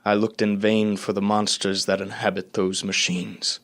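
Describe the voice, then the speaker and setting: A man playing a sober, weary educated survivor in a radio play, speaking in measured, formal, solemn phrases. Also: lowish voice, flat delivery, a bit bright. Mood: solemn, haunted. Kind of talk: radio play dramatic narration.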